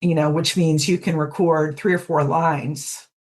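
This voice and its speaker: A woman's voice: deep voice, level tone, measured pace, a little mellow. A middle-aged woman chatting casually as an interview guest, explaining things in a reflective way. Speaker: guest podfic narrator.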